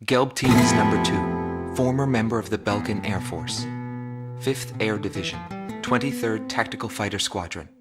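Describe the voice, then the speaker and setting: An actor playing a journalist who narrates a war documentary in a measured, grave tone. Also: male voice, lowish voice, loud music behind the voice, close to an everyday voice. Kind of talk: scripted narration.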